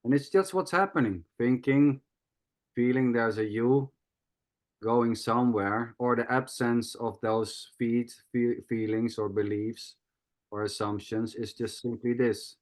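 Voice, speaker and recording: A man hosting a video call, calmly explaining nondual ideas in a teaching tone. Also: mid-range voice, a little slow. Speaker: host. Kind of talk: spiritual teaching talk.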